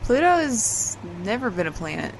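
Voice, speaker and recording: A woman's voice: a little high, very animated, normal speed, creaky, a little hiss or hum, low-quality recording. A woman playing an exasperated, dry-witted character in casual audio drama banter, sardonic and matter-of-fact.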